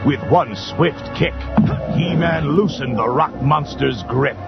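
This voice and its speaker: A man's voice: on the high side, slow, vocal fry. A man giving dramatic scripted children's narration on a muffled 1980s tape, with cartoon music behind him. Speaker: storybook narrator.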